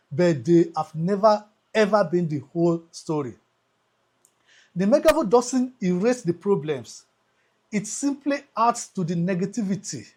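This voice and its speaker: A man hosting a scripted motivational monologue, speaking emphatically like an orator, with deliberate repetition and pauses. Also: high-pitched, darkish tone, slightly rough. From Africa. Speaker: host.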